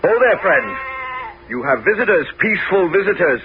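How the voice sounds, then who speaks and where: An actor playing a bold, commanding Greek hero who also narrates, in a 1970s radio drama. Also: male voice, high voice, sing-song, moderate pace, slightly rough, full character voice. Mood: calm. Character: brave male Greek hero, commanding leader. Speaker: narrator and lead. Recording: dull vintage radio broadcast.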